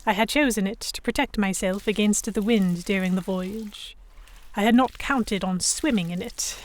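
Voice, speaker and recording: A woman playing a young Victorian noblewoman captive, narrating her story in a measured, literary first person. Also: mid-range voice, natural voice. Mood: weary, reflective. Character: young English noblewoman captive, reflective, stubborn, Victorian era. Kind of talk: first-person scripted narration in an audio drama.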